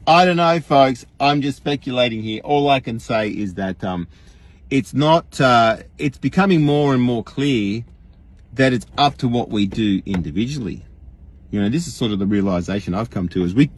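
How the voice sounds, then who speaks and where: A man's voice: on the low side, husky. An Australian man hosting a livestream, ranting casually and wryly to his followers as he addresses them directly. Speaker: livestream host.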